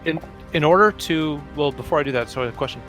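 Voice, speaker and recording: A man's voice: on the high side, quick pace, a bit bright. A man chatting casually as a player in a tabletop role-playing game. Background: music.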